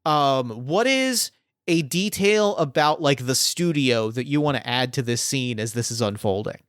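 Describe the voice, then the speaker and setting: A man's voice: medium pitch, expressive, unhurried, fairly bright tone, no room sound, studio quality. A man narrating a tabletop game as game master, rambling and thinking aloud with plenty of fillers.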